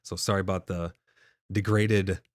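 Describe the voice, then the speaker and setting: A man's voice: low voice, level tone, normal speed, dry recording, clean studio sound. A man hosting a live gaming stream, reading chat aloud and answering viewers casually, his voice a little nasal and stuffed up. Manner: casual, reads chat messages aloud and replies. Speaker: livestream host.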